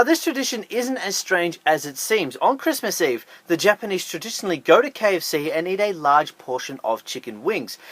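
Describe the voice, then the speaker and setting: A man's voice: high voice, fairly bright tone, no room sound. A man hosting an online video, narrating a scripted countdown list in an upbeat, emphatic way with jokey asides. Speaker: YouTube host.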